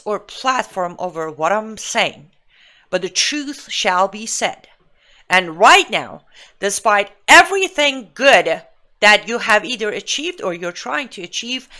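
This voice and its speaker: Forceful Iranian-accented woman commentator. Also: medium pitch. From Iran. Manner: emphatic, indignant, rhetorical questioning. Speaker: political commentator and show host. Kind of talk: live political commentary monologue.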